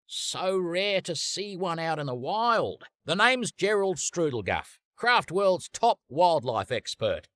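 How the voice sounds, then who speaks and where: A man playing a fussy, excitable and pompous explorer and wildlife expert in an animated video game, speaking theatrically with whimsical made-up words. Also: on the high side, dry recording, clean studio sound, exaggerated voice. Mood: intrigued, self-important. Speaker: voice actor.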